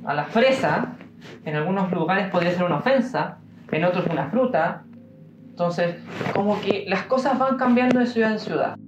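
A young man with a Chilean accent giving an informal devotional talk, musing aloud with pauses and fillers. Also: sits high, animated, over music. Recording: roomy, dull-sounding room recording.

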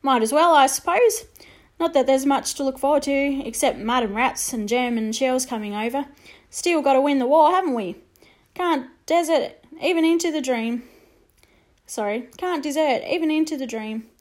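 A woman voicing a cheerful young male army orderly with brisk, chirpy soldier banter. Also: sits high, natural voice.

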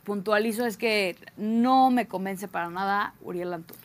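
A woman's voice: medium pitch, normal speed. A woman with a Mexican accent chatting casually and with slang as a sports panelist.

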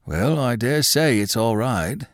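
A man's voice: medium pitch, lively intonation, a little slow, no echo, studio quality, pitched above the performer's own voice, close to an everyday voice. A man voicing a bothered, plain-spoken police detective who sounds doubtful and perplexed in a story. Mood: puzzled, uneasy.

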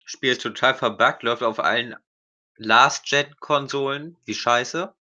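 Young dude on a gaming podcast, sharp bright voice, blunt and slangy. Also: male voice, medium pitch, measured pace, muffled recording. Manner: blunt, slangy, short remarks. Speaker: podcast co-host. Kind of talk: casual chat.

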